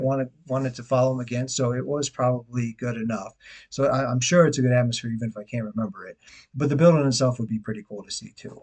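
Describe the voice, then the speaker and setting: A man's voice: mid-range voice, darkish tone, slightly rough. A man in his forties from Detroit, chatting casually to camera as a travel vlogger, rambling with restarts and the odd chuckle. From Detroit, USA. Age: 40s.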